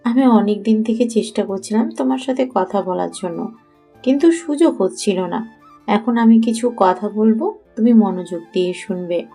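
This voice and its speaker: A woman plays a composed elder sister in an audio story. Her voice is mellow and relaxed, yet she speaks firmly to those younger than her. Soft music runs underneath. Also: mid-range voice, normal speed, natural voice. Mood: serious. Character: young woman, schoolgirl's watchful older sister, firm and composed. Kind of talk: Bengali audio story acting.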